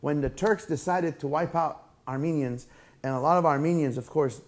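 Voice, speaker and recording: A man's voice: fairly high pitch, normal speed, a little mellow. A man from Syria chatting casually as an interview guest, talkative and jokey, often repeating his phrases. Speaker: guest, fair fried-food vendor. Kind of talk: casual interview chat, storytelling.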